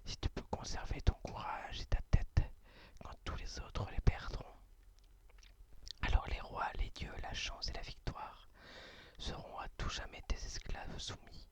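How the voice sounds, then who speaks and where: A whispered ASMR host reading poetry and chatting about books in a halting, informal way, sex unclear. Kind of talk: whispered ASMR poem reading and book chat.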